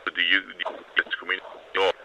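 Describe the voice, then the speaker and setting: A man hosting an interview, asking halting questions and searching for his words as he goes. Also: low voice, monotone, background noise. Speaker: podcast host.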